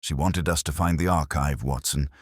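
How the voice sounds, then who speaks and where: A man's voice: extremely low pitch, fairly even intonation, normal speed, slightly rough, dry recording, clean studio sound. A man voicing an intense, theatrical Victorian detective in an audiobook, with declamatory, clipped phrases. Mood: grave, tense. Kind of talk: audiobook character dialogue.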